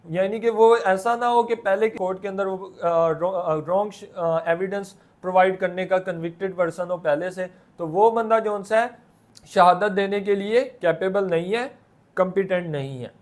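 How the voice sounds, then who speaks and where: A man's voice: high voice, steady tone, moderate pace. A man with a Pakistani accent giving an explanatory lecture, in a didactic way that poses questions and then answers them. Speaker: law lecturer.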